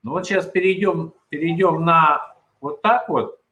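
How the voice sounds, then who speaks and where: A man's voice: on the high side, measured pace, bright, forceful tone. Patient man walking someone through a setup. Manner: patient, instructive, talks through steps. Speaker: conference tech moderator. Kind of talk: casual tech support over video call.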